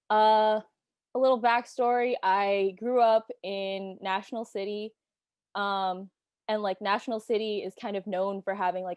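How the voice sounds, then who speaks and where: A young woman hosting a casual student podcast, chatting in a relaxed way with lots of filler words. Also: mid-range voice, no room sound, clean studio sound. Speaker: podcast host.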